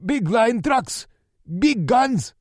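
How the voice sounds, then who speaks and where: A man's voice: very high-pitched, very animated, drawn-out words, darkish tone, no echo, clean studio sound, voice pushed up, full character voice. A man voicing a terrified wounded male cartel prisoner who pleads in broken English with a Spanish accent, stammering and screaming. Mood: panicked. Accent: Spanish-accented English (put on).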